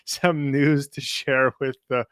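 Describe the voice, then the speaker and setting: A young man co-hosting a casual chat, loud and teasing. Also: mid-range voice, level tone, slow, clean tone, dry recording, studio quality. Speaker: magic shop owner and co-host. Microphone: studio mic.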